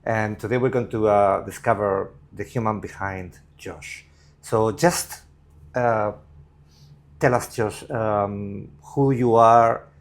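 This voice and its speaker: A man's voice: lowish voice, steady tone, slow. A man hosting an interview, asking casual questions hesitantly and repeating words as he goes. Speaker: interview host.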